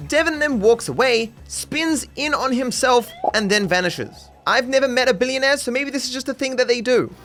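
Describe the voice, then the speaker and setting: High-pitched man, dryly sarcastic. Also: expressive, normal speed, few pauses, fairly bright tone. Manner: dry, mock-serious sarcasm. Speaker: video game commentator and host. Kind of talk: scripted comedic narration over game footage. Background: music under the voice.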